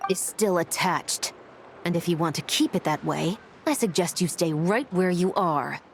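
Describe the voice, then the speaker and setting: A woman playing a stern, confident young special-forces officer in a video game, crisp, commanding and matter-of-fact. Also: lowish voice, normal speed, slight background noise, natural voice. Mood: cool threat. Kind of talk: video game character dialogue.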